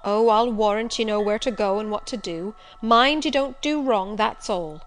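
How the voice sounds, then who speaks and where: A woman's voice: mid-range voice, moderate pace, natural voice. A woman voicing a stern, suspicious elderly female servant in an audiobook, blunt, curt and scolding. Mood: stern, distrustful. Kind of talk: audiobook character voice.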